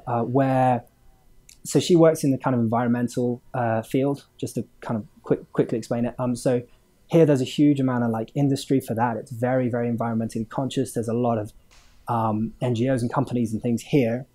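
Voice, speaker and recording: Mellow man, rambling. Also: medium pitch, steady tone, brisk. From Hong Kong. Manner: rambling, many fillers, chuckles mid-sentence. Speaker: game studio owner, guest. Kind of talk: casual interview chat.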